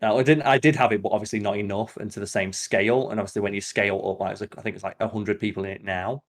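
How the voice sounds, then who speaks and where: A man's voice: on the low side, steady tone, racing. A man hosting a podcast in casual chat, with a sweary, opinionated way of talking. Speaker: podcast host.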